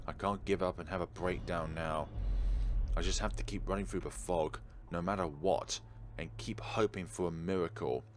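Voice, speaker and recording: A man's voice: low-pitched, fairly even intonation, moderate pace. A man reading a visual novel aloud theatrically and adding his own casual commentary as a let's player. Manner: theatrical, emphatic reading with interjected reactions. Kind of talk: dramatic read-aloud narration with casual gameplay commentary. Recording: clearly noisy background.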